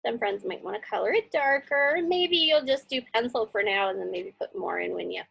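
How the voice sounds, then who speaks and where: A woman's voice: on the high side, lively intonation, a little fast, creaky, fairly bright tone, breathy edge. A woman teacher casually talking children through a drawing step by step, thinking aloud and often checking in with little questions.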